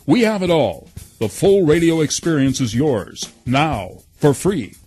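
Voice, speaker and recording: A man's voice: on the high side, big pitch swings, measured pace, darkish tone, husky. A man reading scripted promo narration as a radio station announcer. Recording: faint music bed behind.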